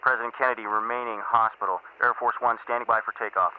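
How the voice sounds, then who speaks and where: An actor playing a formal control-room radio operator, clipped and businesslike through a radio filter, in an audio drama. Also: male voice, mid-range voice, steady tone, fast talker. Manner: clipped, repeats call signs. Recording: narrow tinny radio link, faint noise.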